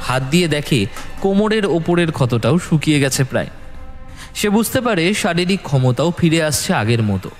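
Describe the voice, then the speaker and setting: A man narrating a scripted horror story in a dramatic, expressive and suspenseful storytelling manner. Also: fairly high pitch, normal speed, faint music behind.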